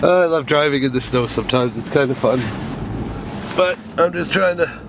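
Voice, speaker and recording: A man with a cold, stuffed up and hoarse, chatting casually, swearing and laughing while he drives his truck through snow. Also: mid-range voice, moderate pace. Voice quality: hoarse, scratchy. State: stuffed up with a cold, voice going froggy. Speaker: vlogger. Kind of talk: casual rambling vlog while driving. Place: in a vehicle, driving in snow. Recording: loud vehicle and road noise.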